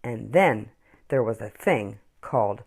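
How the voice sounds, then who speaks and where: A woman reads a children's story aloud in a low-pitched voice. Her delivery is highly animated, rising and falling expressively, with dramatic pauses for effect. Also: gravelly, breathy edge. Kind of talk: children's picture book read-aloud.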